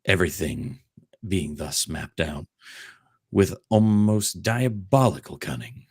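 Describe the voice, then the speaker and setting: Slow, low-voiced man reading aloud. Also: expressive, breathy edge, dry recording, studio quality. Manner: jokey, breaking off for ad-libs and laughter. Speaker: host doing a dramatic reading. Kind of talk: comedic dramatic book reading with joking asides.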